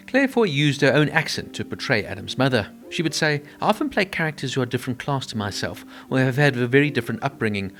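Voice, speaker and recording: A man narrating a scripted film review and trivia, in an even, measured delivery. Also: mid-range voice.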